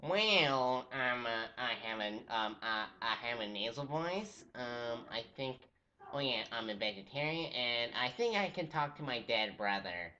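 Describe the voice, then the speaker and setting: A man's voice: mid-range voice, animated, measured pace, bright, forceful tone, dull audio, a little hiss or hum. A teenage boy voicing a socially awkward nerdy young man, nasal and hesitant, full of ums and uhs. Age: teens. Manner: hesitant, stammering with fillers.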